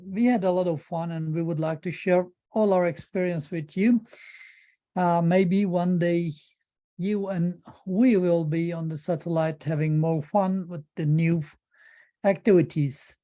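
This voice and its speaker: Mellow middle-aged man with a Turkish accent. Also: on the high side, steady tone. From Turkey. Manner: halting, repeats words while searching for phrasing. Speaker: presenter. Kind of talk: informal conference presentation.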